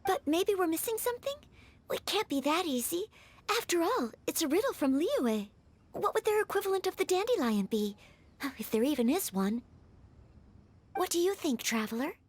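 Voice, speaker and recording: High, lively, earnest young girl. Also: moderate pace. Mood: curious pondering. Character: sweet, curious, earnest young girl, admiring and modest. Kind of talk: video game voice acting.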